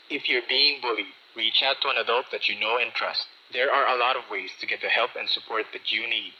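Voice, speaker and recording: A man with a Filipino accent reading a scripted public-service announcement as a radio announcer. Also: mid-range voice. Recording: muffled, noisy AM radio aircheck.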